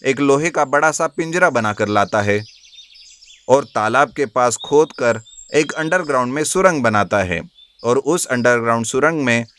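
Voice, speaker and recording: Man narrating a children's fable, mid pitch. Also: fairly bright tone. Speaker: storyteller.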